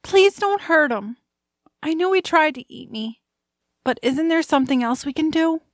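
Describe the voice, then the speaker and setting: Orphaned little girl, played by a woman: high, sweetly earnest, gentle, for a family fantasy audio drama. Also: expressive, moderate pace, no echo, studio quality. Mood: sad pleading. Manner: earnest, pleading.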